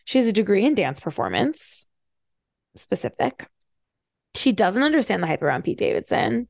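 Creaky-voiced woman podcaster, chatty. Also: mid-range voice, no echo, clean studio sound. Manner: fast-talking, chatty, wry, animated asides. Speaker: podcast host. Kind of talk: casual solo commentary, reading bios with asides.

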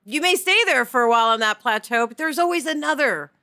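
A woman co-hosting a podcast in an informal, opinionated, animated way of chatting. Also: sits high, quick pace. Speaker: podcast co-host. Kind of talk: casual podcast discussion.

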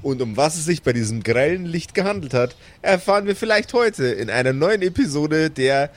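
A man's voice: on the high side, expressive, moderate pace. A man narrating an improvised story playfully as game master.

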